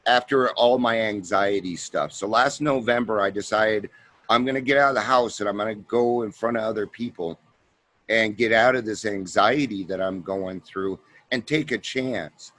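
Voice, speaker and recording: A man's voice: lowish voice, moderate pace, a bit bright, no room sound. A man hosting a recovery talk show, speaking in a heartfelt, conversational way. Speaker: podcast host. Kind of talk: casual interview chat.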